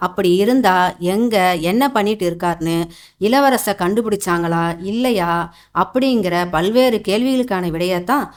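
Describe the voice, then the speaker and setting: A woman narrating an animated folktale in a colloquial, explanatory storytelling style. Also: mid-range voice, moderate pace. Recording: music under the voice.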